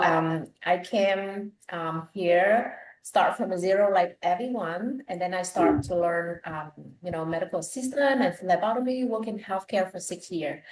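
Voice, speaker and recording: An echoey live-stream recording of a woman with a Vietnamese accent and a slightly low voice. She speaks casually and off the cuff as a panelist introducing herself. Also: darkish tone. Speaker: talk show panelist, online business owner. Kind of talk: casual spoken self-introduction.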